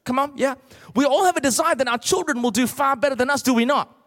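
A man's voice: extremely high pitch, quick pace, a bit bright. A middle-aged man with an Australian accent preaching a sermon with comic storytelling, emphatic and full of rhetorical questions to his congregation. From Australia, born in Malaysia. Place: large church auditorium, speaking to a congregation. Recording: large reverberant hall.

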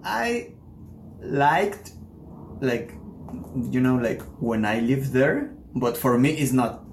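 A man's voice: medium pitch, lively intonation, takes it slowly, slight background noise. A young man from Mexico co-hosting a casual chat show, talking with fillers and restarts. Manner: hesitant, many fillers, non-native English. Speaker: podcast co-host. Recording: echoey room.

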